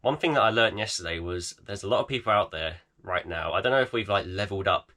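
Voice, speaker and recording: A man in his thirties, croaky and tired, chatting casually in a vlog with lots of fillers. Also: on the low side, expressive, fast, bright, forceful tone. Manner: tired, rambling with many fillers. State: croaky, voice almost gone. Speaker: eBay reseller vlogger. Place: home garage.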